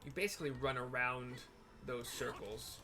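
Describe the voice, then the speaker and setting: A man's voice: mid-range voice, moderate pace. A man giving casual, informal live commentary on his own video game playthrough, thinking aloud as he goes. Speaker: gamer narrating own playthrough.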